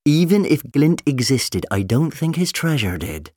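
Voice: medium pitch, big pitch swings, unhurried, dark tone, no room sound, studio quality, pitched above the performer's own voice. A storyteller voicing a frank, sceptical woman who works in a pub, in an audiobook. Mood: dismissive.

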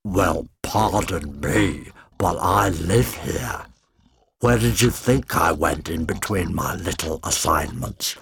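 Slow, gruff, sarcastic shaggy monster. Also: male voice, deep voice, expressive, a bit bright, full character voice.

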